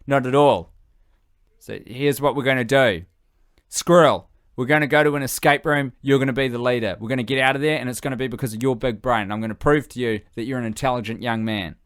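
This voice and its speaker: A man plays an earnest young woman in a comic story, mid-pitched for a male voice and recorded dry. She talks frankly and likes to take charge of everyone. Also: fairly even intonation, quick pace, clean tone, no room sound, natural voice. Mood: bossy, rallying. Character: young woman, earnest, bossy self-appointed fixer.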